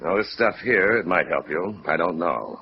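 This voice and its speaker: An earnest middle-aged insurance man talks in a fairly low voice with very animated rises and falls. He is sentimental and conversational, in 1950s radio drama style on a muffled old recording. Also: normal speed, breathy edge, full character voice. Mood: earnest, wistful.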